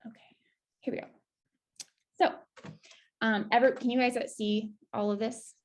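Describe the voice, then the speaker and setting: Creaky-voiced woman giving a casual demo. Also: a little high, fairly even intonation, unhurried, a little mellow. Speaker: presenter giving a website demo. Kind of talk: casual screen-share walkthrough.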